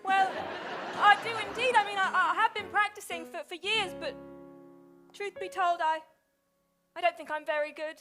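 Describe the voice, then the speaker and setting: A woman's voice: very high-pitched, steady tone, normal speed, long pauses. A woman playing a naive, eager young potter in a comic pantomime, flustered and exclamatory, stumbling over her words. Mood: hesitant, eager. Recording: music and audience noise behind. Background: music and crowd.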